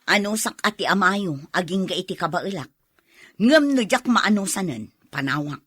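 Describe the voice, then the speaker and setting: Actress as a bright-voiced, put-upon Ilocano wife. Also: female voice, mid-range voice, lively intonation, moderate pace, husky, no room sound, clean studio sound. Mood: exasperated. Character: put-upon Ilocano wife and mother, weary but feisty. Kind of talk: radio drama dialogue.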